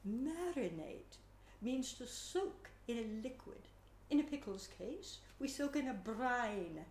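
A woman's voice: on the high side, mellow, a little hiss or hum. A woman voicing a cheerful, chatty magic pickle slice that explains things and bursts into song, in a roomy-sounding recording. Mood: explaining. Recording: roomy echo.

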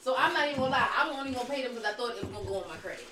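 A woman's voice: fairly high pitch, lively intonation, rapid-fire. A woman in her thirties co-hosting a podcast, giving advice in a bossy, emphatic way. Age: 30s. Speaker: podcast co-host. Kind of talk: casual podcast chat. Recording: echoey off-mic, loud noise.

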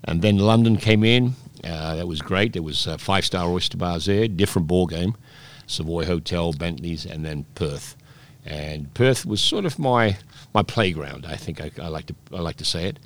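Deep voiced guy in his sixties, chatty, keeps restarting his sentences. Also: moderate pace. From Scotland, raised in Peru. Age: 60s. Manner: rambling storyteller with many restarts. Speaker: guest, oyster bar operator. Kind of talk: relaxed interview chat.

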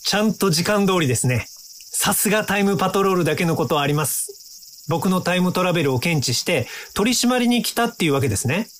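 An actor in a radio drama playing a calm, smug inventor from the future, polite and lecturing in a self-assured way. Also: male voice, high-pitched, a little fast, a little hiss or hum. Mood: smugly pleased.

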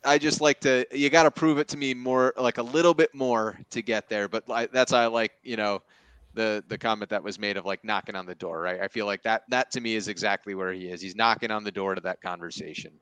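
A man's voice: fairly low pitch, fairly even intonation, a little fast, a bit bright, clear, resonant tone, no echo. A man giving casual fantasy football analysis as part of a panel. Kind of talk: casual sports debate.

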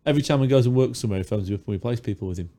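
A man co-hosting a podcast, chatty and jokey, a little hoarse from a sore throat. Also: mid-range voice, very fast, dark tone. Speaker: podcast co-host. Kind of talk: casual chat. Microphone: studio mic with pop filter.

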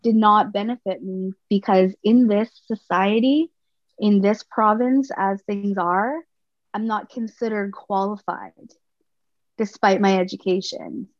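A woman in her thirties from Canada, speaking as a podcast guest in a thoughtful, halting way with frequent restarts. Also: medium pitch, fairly even intonation, no room sound. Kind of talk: personal conversation. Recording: slightly muffled dry sound.

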